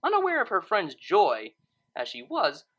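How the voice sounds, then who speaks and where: A woman reading scripted fiction as narrator in an expressive storytelling manner. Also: mid-range voice, moderate pace, lo-fi sound.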